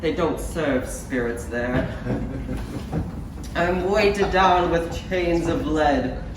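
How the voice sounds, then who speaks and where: Male actor as comic ghost, complaining, rhyming pantomime, drawn-out theatrical delivery, echoey noisy recording. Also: fairly high pitch. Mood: comic grumbling. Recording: distant, echoey stage recording with noise.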